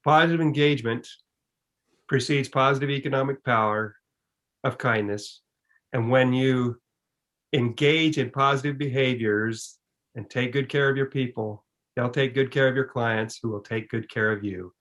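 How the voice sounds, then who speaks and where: A man presents and answers questions on a video call as the guest speaker. His voice sits at an average pitch. He explains things emphatically, with a folksy turn of phrase. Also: normal speed, fairly bright tone. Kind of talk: online presentation and Q and A.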